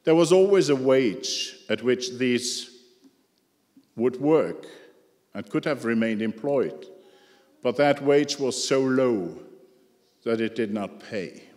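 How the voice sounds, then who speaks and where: A man's voice: mid-range voice, lively intonation, darkish tone. Guy giving a slide talk, english not his first language, dry ironic asides, big echoey hall. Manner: measured, dryly ironic, occasional asides. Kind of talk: prepared lecture with slides. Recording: echoey hall with long reverb.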